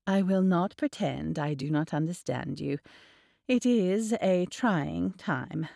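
A woman voicing a sensible middle-aged aunt in an audiobook, calm, measured and subdued. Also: lowish voice, gravelly, no echo, studio quality. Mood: calm, sympathetic.

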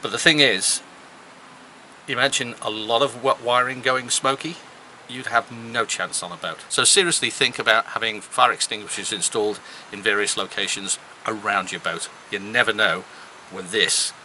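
A man's voice: fairly low pitch, normal speed, sharp tone, a little hiss or hum, rough recording. A man in his fifties explaining things casually to camera as a sailing vlog host. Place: outdoors at a marina and aboard a sailboat.

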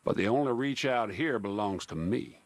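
An actor playing a cold, formal henchman in a western video game, measured, dry and pompous. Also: medium pitch, noisy background, natural voice. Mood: cold, disdainful. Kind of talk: video game cutscene monologue.

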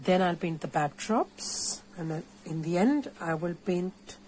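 A woman's voice: lowish voice, lively intonation, unhurried, a little mellow, slight background noise. An Indian-accented woman giving an informal, playful and encouraging step-by-step craft tutorial. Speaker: puppeteer teaching a craft workshop. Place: indoors at a craft table.

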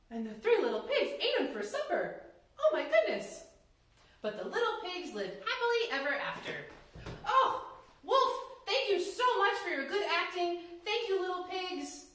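A young woman reading a story aloud to children in a theatrical, expressive, emphatic way. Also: very high voice. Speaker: library storyteller, reader. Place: indoor room before folding screen. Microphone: camera microphone a few metres away. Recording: echoey room, distant mic, background noise.

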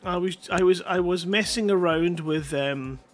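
A man's voice: high voice, moderate pace, soft background music. A middle-aged man hosting a livestream, chatting casually and rambling in a jokey, self-deprecating way into a headset mic. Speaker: live stream host.

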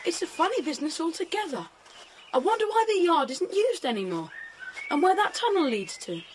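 A woman acts out a bold English child in a children's adventure play. The voice is high and very animated. The delivery is brisk and sure of itself, with faint noise behind. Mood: curious, eager. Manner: brisk, plucky. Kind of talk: children's audio drama acting.

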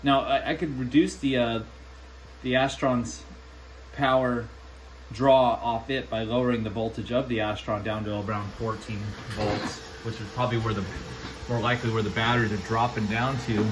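A man giving a casual, halting hands-on demo as a radio hobbyist, thinking aloud as he goes. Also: medium pitch. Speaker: amateur radio hobbyist demonstrating equipment. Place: small indoor room with radio gear. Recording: steady air-conditioning noise in room.

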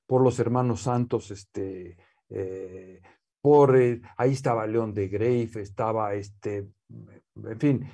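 A man with a Mexican accent in a relaxed seated interview, talking slowly with many fillers and restarts. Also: mid-range voice. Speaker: guest, poet's nephew and scholar. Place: living room with bookshelves, seated.

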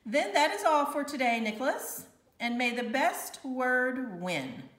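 Woman acting a prim older teacher, storybook, measured and slow, firm, distant mic. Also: sits high, animated, fairly bright tone, pitched above the performer's own voice. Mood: resolute. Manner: formal, measured, deliberate. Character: stern, prim older female grammar teacher. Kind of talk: children's storybook read-aloud. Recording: echoey room, distant mic.

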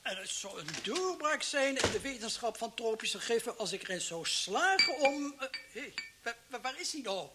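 An actor playing a muddled, pedantic toxicology professor in a vintage radio play, halting and repeating himself. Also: male voice, very high voice, expressive. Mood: absent-minded. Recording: noisy old radio drama recording.

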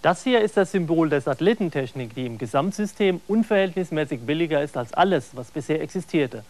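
A man delivers a scripted documentary voice-over. His voice sits a little high, and he reads in a measured, factual way. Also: animated, darkish tone. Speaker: voice-over narrator.

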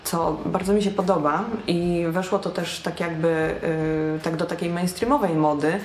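A young woman talking to camera about alternative fashion, chatty and self-mocking, recorded in a small bright room. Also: lowish voice, fairly even intonation, moderate pace, a little hiss or hum. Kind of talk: casual talk to camera. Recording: roomy echo.

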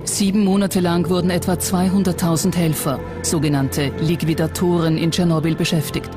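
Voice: mid-range voice, flat delivery, moderate pace. A documentary narrator reading a scripted narration. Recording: music behind the voice. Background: background music.

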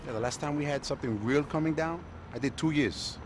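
An actor playing an exasperated young nightclub worker from the streets in a video game, using slang with bits of Spanish. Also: male voice, on the high side, fast, background noise, close to an everyday voice. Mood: weary. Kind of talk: video game cutscene acting.

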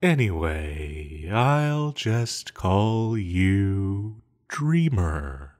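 Man voicing a slow, low-pitched ancient banished villain. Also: big pitch swings, darkish tone, full character voice. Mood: musing, curious. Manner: slow, halting, musing with sighs and hums. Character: ancient banished villain sorcerer, calm, musing, quietly menacing. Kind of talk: intimate scripted audio roleplay monologue.

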